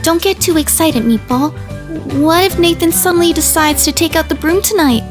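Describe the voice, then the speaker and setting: High-voiced wary dog played by a woman. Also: normal speed, music in the background. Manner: skeptical, reserved. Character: wary, reserved, sensible female dog, small-voiced and grumbly.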